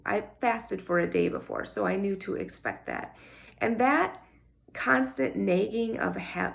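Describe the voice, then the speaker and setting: A woman's voice: mid-range voice, vocal fry. A woman talking candidly to the camera in a chatty, personal vlog, her voice scratchy from just waking up. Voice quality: scratchy morning voice. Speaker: vlogger. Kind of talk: casual talk to camera.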